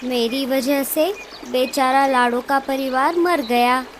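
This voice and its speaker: A meek, grateful female bird from a cartoon, acted by a woman. Her voice is high and slow, with faint noise and bird sounds behind it. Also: steady tone. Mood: distressed. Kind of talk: cartoon dialogue. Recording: noisy background with bird sounds.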